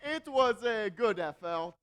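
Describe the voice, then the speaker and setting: A man's voice: sits high, animated, unhurried. An actor on a theatre stage playing a pompous, genial, dim male emperor in a vague, airy manner. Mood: condescending. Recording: loud noise on stage recording.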